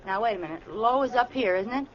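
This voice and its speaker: An actress playing a naive, excitable, scatterbrained wife in a 1940s radio comedy, cheerfully oblivious and quickly indignant. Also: female voice, fairly high pitch, close to an everyday voice. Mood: puzzled. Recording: noisy old radio recording, dull, studio audience.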